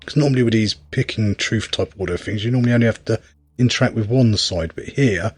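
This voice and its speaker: Male gameplay commentator, slightly deep and husky, dry humour, loose off-the-cuff delivery. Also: fairly quick, dry recording. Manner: wry, rambling, thinking aloud. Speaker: game reviewer and player.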